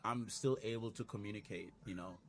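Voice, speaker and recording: A man's voice: fairly low pitch, brisk. A man speaking as a guest in a casual chat, easygoing but emphatic about his views. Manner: easygoing, emphatic, frequent "you know". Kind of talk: casual conversation.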